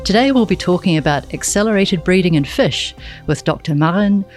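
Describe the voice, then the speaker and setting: A woman's voice: fairly low pitch, animated, brisk, a little mellow, soft background music. A woman hosting a science podcast, reading friendly introductions and asking questions. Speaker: podcast host. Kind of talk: interview hosting.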